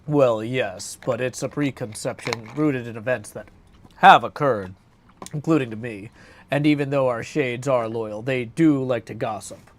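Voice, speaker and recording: A man's voice: mid-range voice, unhurried, a little hiss or hum, lightly acted. A man voicing a hearty, seasoned hero's shade in a video game, chatty and old-fashioned in his phrasing. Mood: candid, hesitant.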